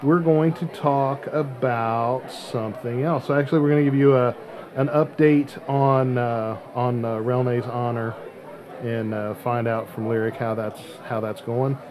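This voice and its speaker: Halting male podcast host, chatter behind him. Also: medium pitch, steady tone. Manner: halting, searching for words. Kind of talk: casual podcast chat.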